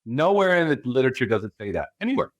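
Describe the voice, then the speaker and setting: A man's voice: medium pitch, big pitch swings, very fast, dry recording. A man from California with an American accent, a spiritual guide being interviewed, who talks emphatically and keeps asking rhetorical questions. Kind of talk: interview talk.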